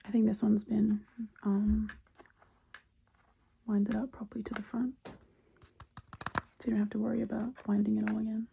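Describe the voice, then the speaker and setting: A woman speaking in an intimate, unhurried, breathy whisper, chatting casually in ASMR style as a shopkeeper. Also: mid-range voice, flat delivery, noisy background. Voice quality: breathy whisper, mostly unvoiced. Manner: unhurried, soft-spoken, intimate. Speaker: ASMR roleplay host as cassette shop keeper.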